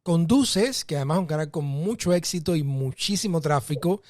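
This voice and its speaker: A man hosting an interview, introducing his guest with courteous, measured phrasing and small hesitations. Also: a little high, animated, darkish tone. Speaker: interview host. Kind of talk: formal interview.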